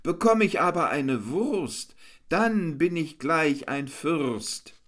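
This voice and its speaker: A man's voice: very animated, slow. A man voicing a hungry, cheeky male jester who teases and whines in sing-song rhymes. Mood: whiny. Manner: high, whiny, sing-song rhyming.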